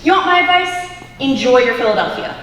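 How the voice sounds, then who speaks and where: Teen actress playing a breezy, high-voiced American woman. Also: expressive, brisk, a bit bright. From United States. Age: teens. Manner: laid-back, reassuring, glib. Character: breezy, unflappable young woman, cheerfully philosophical. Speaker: student stage actor. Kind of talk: one-act stage comedy acting. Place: school auditorium stage. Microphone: distant camera microphone. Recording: echoey auditorium recorded from far off, hiss.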